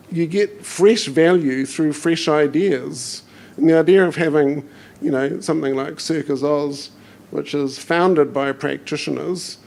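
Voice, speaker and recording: A man's voice: on the high side, creaky, a little mellow, poor audio. A man on a stage panel speaking earnestly in loose sentences, dropping you know often. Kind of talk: panel discussion. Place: stage before a live audience.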